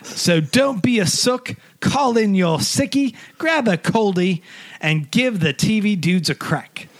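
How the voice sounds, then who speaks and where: A man's voice: sits high, expressive, slow pace. A man doing a clumsy, hammy put-on Australian bloke accent while reading a review on a podcast. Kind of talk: podcast comedy bit.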